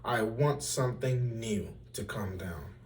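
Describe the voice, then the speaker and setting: A man's voice: on the low side, slow, darkish tone, distant mic. A man voicing a hot-tempered, imperious old storybook king in a bellowing, emphatic, commanding manner. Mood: angry, demanding.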